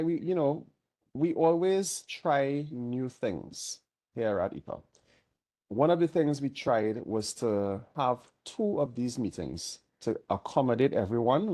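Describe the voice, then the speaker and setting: A man's voice: medium pitch, warm tone. A man chairing an online meeting, a little nasal from a cold, easygoing and chatty while keeping his diction careful.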